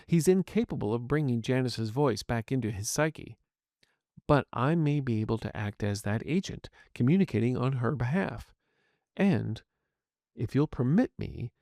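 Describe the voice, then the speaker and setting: A man's voice: medium pitch, vocal fry, darkish tone, no room sound, clean studio sound. A man voicing a polite, curious artificial intelligence medical agent in an audio story, speaking in formal, precise and courteous wording. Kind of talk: scripted audio fiction dialogue.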